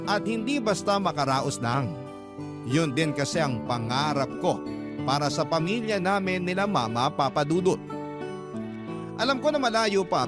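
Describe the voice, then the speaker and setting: A man narrating a first-person Tagalog story as a radio drama storyteller. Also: fairly high pitch. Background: prominent guitar music.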